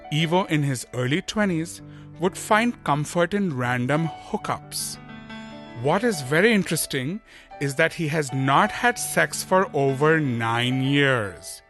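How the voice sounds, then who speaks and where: A man's voice: on the high side, animated, measured pace, a bit bright, faint music behind. A man hosting an inspirational talk show who reads a scripted introduction and then interviews the guest, affirming him and restating what he says with frequent mm-hmms.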